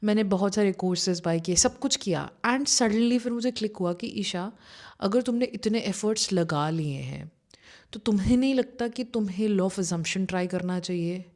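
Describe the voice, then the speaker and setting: A woman's voice: mid-range voice, normal speed, darkish tone. A young adult woman, a manifestation coach, telling her own story in a chatty way, with fillers and English phrases mixed in. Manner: conversational, mixes Hindi with English phrases, many fillers like you know. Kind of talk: personal story told to camera.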